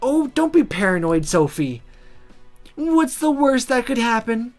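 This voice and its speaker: Performer as pushy, higher-pitched schoolgirl witch. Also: big pitch swings, a little slow, faint music behind. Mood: pushy, defiant. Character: impulsive, stubborn schoolgirl witch, Sophie's mischievous friend. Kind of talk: visual novel character voice.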